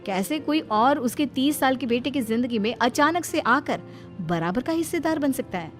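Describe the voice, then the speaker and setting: A woman giving scripted narration for an animated moral bedtime story. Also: sits high, normal speed. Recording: music behind the voice. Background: background music.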